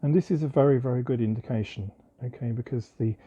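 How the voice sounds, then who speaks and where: Husky man explaining electronics step by step. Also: mid-range voice, fast, mellow, lo-fi sound. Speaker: presenter of an electronics tutorial. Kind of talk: informal hands-on technical demonstration, explaining step by step.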